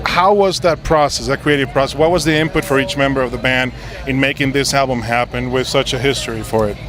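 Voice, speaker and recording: A man's voice: medium pitch, fairly even intonation, fast talker, a crowd in the background. A man interviewing a band, asking long, winding questions.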